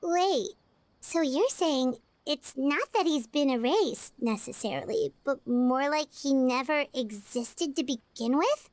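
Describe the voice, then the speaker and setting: A woman's voice: sits high, lively intonation, takes it slowly, darkish tone, no room sound. An actress voicing a chatty, curious little companion girl in a video game who talks about herself in the third person. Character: tiny floating girl companion, chirpy and curious. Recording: faint game music behind.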